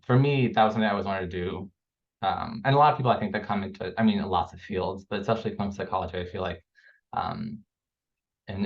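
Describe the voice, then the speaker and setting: A young man with a deep, hoarse voice answers over a video call, his words rambling and filled with fillers. The call audio sounds a little dull and muffled, like a lo-fi connection. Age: young adult. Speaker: clinical psychology graduate student.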